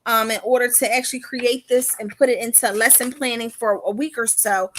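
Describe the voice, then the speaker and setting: A high, bright woman's voice with a sharp, ringing tone. She is a children's author who reads aloud with animated, cheerful emphasis, then chats about her book just as upbeat and motivational. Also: fairly quick, a little hiss or hum.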